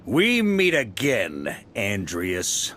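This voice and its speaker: A man's voice: on the low side, wildly swooping pitch, extremely slow, bright, forceful tone, a little hiss or hum, full character voice. A man playing a seasoned, laid-back and confident knight grandmaster in a video game. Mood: wary.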